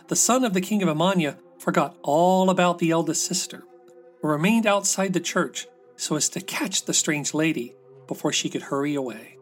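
A man narrating a fairy tale as a scripted storybook reading, with music behind him. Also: high voice. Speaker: storybook reader.

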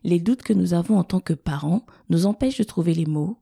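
A woman reads a scripted podcast introduction in a measured, earnest tone. Her voice is very dark and husky, full of vocal fry. It is recorded dry and close. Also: lowish voice, dry recording. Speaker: podcast co-host reading the introduction. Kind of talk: scripted narration.

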